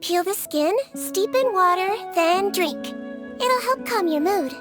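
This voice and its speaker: A woman voicing a gentle, earnest young girl nurse in a video game, soft, childlike and politely careful. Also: extremely high pitch, animated, slow, music in the background, heavily acted. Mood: politely helpful.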